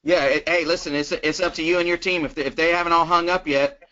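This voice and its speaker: A plain-spoken, folksy man coaching casually on sales as a guest trainer, acting out sample pitches as he goes. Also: steady tone, fast, sharp tone. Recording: dull, lo-fi conference call line.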